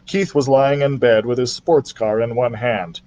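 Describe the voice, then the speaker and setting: A man reading a children's book aloud as a library storyteller, in an expressive style. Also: medium pitch, unhurried, highs cut off.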